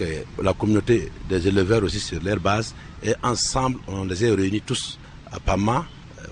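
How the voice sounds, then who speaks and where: A man with a Burkinabe accent, a town mayor giving an interview account in repetitive, enumerating phrases. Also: low-pitched. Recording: noisy field recording with vehicle sounds.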